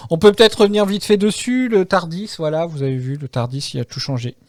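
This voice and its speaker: High-voiced man hosting a casual podcast. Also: fast, a little mellow, no echo, clean studio sound. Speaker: podcast host. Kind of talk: casual group podcast discussion.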